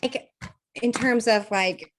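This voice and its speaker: A woman co-hosting a podcast in casual chat, thinking aloud with fillers and frequent laughs, her voice a little croaky. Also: a little high, slow. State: slightly croaky voice. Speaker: podcast co-host.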